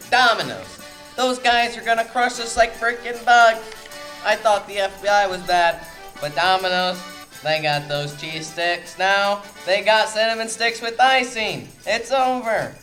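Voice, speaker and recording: An actor playing a stressed, exasperated, melodramatic mob boss in a comedic audio drama. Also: male voice, high-pitched, a bit bright, low-quality recording. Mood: panicked. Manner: exasperated, melodramatic mobster talk. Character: stressed, blustering male mob boss secretly running a pizzeria.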